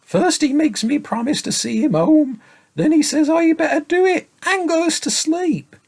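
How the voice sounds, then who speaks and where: A man's voice: very high-pitched, huge pitch swings, creaky, pitched above the performer's own voice, exaggerated voice. A sobbing little boy. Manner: sobbing, piteous, drawn-out. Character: tired tearful little boy, pleading.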